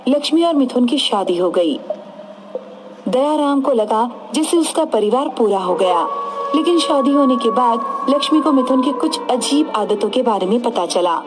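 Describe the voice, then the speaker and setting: High-voiced woman narrating horror stories. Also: animated, normal speed, background noise. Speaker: storyteller. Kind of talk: scripted horror-story narration. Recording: music behind the voice.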